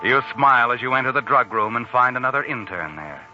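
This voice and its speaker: An actor playing a sinister, taunting, all-knowing narrator in a 1940s radio suspense drama, insinuating and dramatic, on a muffled old recording. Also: male voice, medium pitch, lively intonation, brisk, music in the background, close to an everyday voice. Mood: taunting, ominous.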